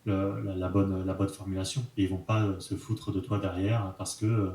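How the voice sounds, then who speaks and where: A man, talking as an interview guest in a relaxed, frank way, full of fillers and slang. His voice is low and mellow. The room around him echoes noticeably. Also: normal speed. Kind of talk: casual conversational interview.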